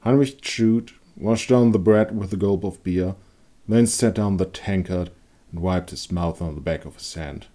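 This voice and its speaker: A man giving a dramatic narration of a dark fantasy story. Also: low voice, unhurried. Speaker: author and narrator.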